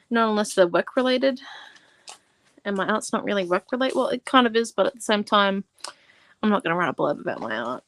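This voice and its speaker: A woman's voice: mid-range voice, a bit bright, clean tone. A woman in her twenties hosting a live art class from home, talking casually and rambling with lots of asides. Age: 20s. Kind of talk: casual livestream craft tutorial. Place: at home, in a warm room.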